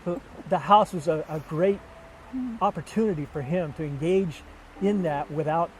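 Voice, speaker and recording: A man speaking earnestly and in measured phrases, giving a personal testimony as a missionary. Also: on the high side, slight background noise, rough recording. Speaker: missionary couple member seeking support. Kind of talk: documentary-style personal testimony and appeal. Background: background music.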